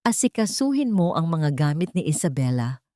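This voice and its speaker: A woman's voice: low-pitched, sing-song, moderate pace, warm tone, dry recording. A woman plays a cold husband in an audio love drama. He is domineering and curt, handing out orders, and the sound is dry and close. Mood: cold, threatening. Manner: curt, commanding.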